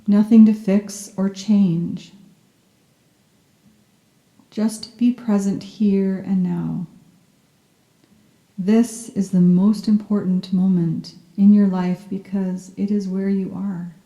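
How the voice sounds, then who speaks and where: Very mellow, soft-spoken woman guiding meditation. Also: medium pitch, little pitch movement, roomy sound. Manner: soft-spoken, soothing, gentle and unhurried phrasing. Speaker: yoga nidra meditation guide. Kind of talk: guided meditation, scripted narration.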